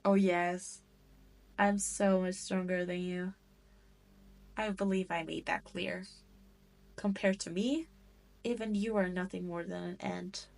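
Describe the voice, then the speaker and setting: A woman's voice: fairly low pitch, fairly even intonation, slow, creaky, pauses often, a little mellow. A woman playing a cruel, seductive demon queen in an audio roleplay, taunting and condescending as she toys with her prey. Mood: teasing, haughty. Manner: taunting, haughty, condescending, teasing. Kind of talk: scripted roleplay audio drama monologue.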